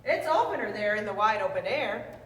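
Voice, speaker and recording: A woman reading a children's rhyming book aloud in a lively, sing-song way. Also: medium pitch, moderate pace. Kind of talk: read-aloud storytelling. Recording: roomy, distant mic, faint noise.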